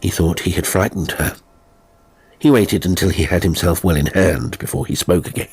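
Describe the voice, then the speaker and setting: A man reading a horror story as an audiobook narrator, in measured, suspenseful phrases that often fall to a hush. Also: low voice, breathy edge, dry recording, rough recording. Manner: measured, suspenseful, breaking into short weighted phrases. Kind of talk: scripted dramatic horror narration. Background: faint music.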